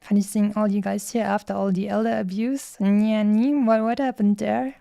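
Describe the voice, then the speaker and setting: A woman with a mellow, mid-pitched voice and plenty of vocal fry chats casually as she streams a game. She muses and rambles playfully into a close, dry microphone. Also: flat delivery, moderate pace, dry recording. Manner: musing, playful, rambling asides. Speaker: streamer playing a video game. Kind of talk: casual livestream chat and commentary.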